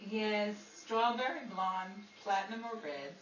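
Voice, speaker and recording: A woman's voice: medium pitch, expressive, unhurried, muffled recording, close to an everyday voice. Woman acting cool wisecracking flirt, roomy distant recording. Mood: coolly teasing. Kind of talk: old-time radio drama acting. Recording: roomy, distant mic, noisy background.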